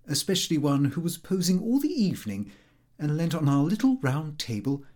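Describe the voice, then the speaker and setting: A man's voice: fairly high pitch, big pitch swings, moderate pace, darkish tone. A man reading a comic diary as scripted narration, in a prim, fussy, precise manner. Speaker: audiobook reader.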